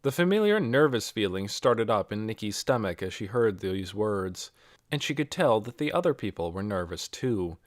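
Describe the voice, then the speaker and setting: Lively male storybook narrator, dry sound. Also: fairly low pitch, normal speed, no room sound. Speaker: audiobook reader.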